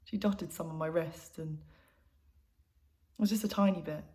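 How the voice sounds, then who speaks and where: A woman's voice: sits low, a little slow, dark tone. A young woman acting an intimate, conversational monologue as a troubled granddaughter confiding in her mother. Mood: fond, nostalgic. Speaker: actor performing a self-written monologue.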